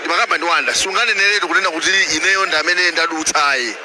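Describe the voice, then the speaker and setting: A middle-aged Malawian man reporting in a halting, unscripted way, mixing in English phrases. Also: a little high. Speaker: television reporter. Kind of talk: live unscripted commentary with colleagues. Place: outdoors at night outside a convention centre, among a crowd. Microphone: handheld microphone. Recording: noisy outdoor live broadcast.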